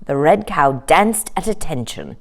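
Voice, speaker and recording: A woman storyteller narrating a children's story in an expressive read-aloud style. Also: low-pitched, unhurried.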